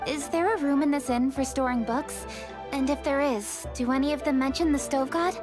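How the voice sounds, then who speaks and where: A woman playing a composed, businesslike young noblewoman official in a video game, formal and brisk. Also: sits high, music in the background, lightly acted.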